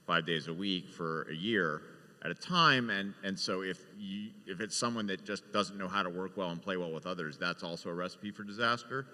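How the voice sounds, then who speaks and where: A man's voice: low-pitched, pushed and bright. A man speaking as a television showrunner on a panel, explaining his points with emphatic repetition. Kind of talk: panel discussion. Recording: very echoey hall with long reverb.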